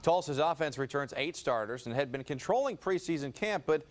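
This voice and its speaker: A man's voice: fairly high pitch, lively intonation, fairly quick. A man presenting a live sports broadcast as anchor, reading stories and putting questions to guests. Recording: clear music bed behind voice. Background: music.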